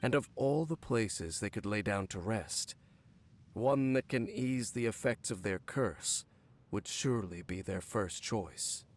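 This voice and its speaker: An actor playing a brooding, centuries-old cursed former guard captain in a video game, speaking in a formal, measured, solemn way. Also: male voice, lowish voice, a little hiss or hum, close to an everyday voice. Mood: somber, weary. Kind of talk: video game character dialogue. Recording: game audio with background music. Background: game music.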